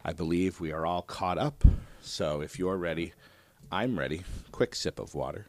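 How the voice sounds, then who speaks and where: A man hosting his own podcast, talking in a soothing, relaxed way and often wandering off on tangents. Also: deep voice, normal speed. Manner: relaxed, digressive, frequent "uh" and "um". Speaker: podcast host. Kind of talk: casual solo podcast talk.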